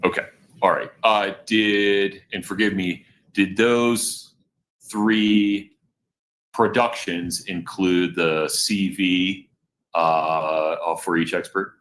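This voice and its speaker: Deep-voiced judge, measured and deliberate. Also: male voice, steady tone, a bit bright, a little hiss or hum. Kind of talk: courtroom hearing. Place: courtroom.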